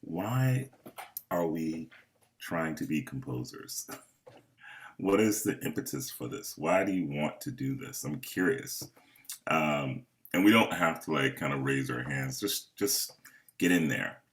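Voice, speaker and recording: A man hosting and moderating a panel of composers over a video call, speaking in an unhurried, earnest way. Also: very deep voice, lively intonation. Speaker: host and festival curator.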